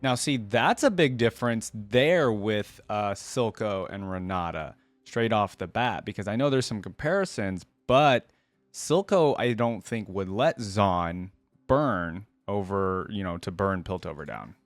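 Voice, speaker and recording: A man's voice: fairly low pitch, animated, measured pace, no room sound. A man hosting a reaction video, casually musing aloud and explaining his opinions in a conversational way.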